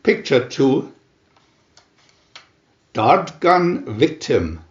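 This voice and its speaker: Slow, theatrical male narrator, swooping pitch. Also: very animated, roomy sound, dull audio. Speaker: narrator reading aloud a picture-reel booklet. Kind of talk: dramatic scripted narration of a comic adventure.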